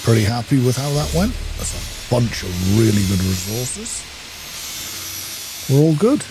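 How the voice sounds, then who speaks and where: A man's voice: mid-range voice, big pitch swings, a little slow, background noise. A man giving casual, understated gameplay commentary, half talking to himself as he plays. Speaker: gaming video host. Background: game music.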